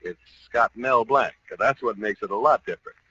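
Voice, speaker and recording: A man's voice: lowish voice, normal speed, pushed and bright. A man announcing a scripted radio show in a polished 1940s style. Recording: noisy, muffled old radio broadcast.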